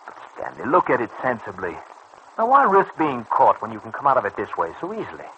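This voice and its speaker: An actor in an old radio serial playing a suave, scheming crime boss who coaxes and patronises in precise, persuasive phrases. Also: male voice, medium pitch, huge pitch swings, a little fast, bright, forceful tone, lo-fi sound. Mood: reassuring, insistent. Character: smooth, scheming male racket boss, coaxing and patronising. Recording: old radio recording with faint noise.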